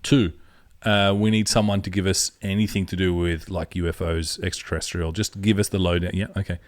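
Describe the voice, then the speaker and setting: Brief: deep-pitched male podcast co-host, from Syria, teasing banter, dry studio sound. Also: steady tone, fairly quick, no echo. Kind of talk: casual chat.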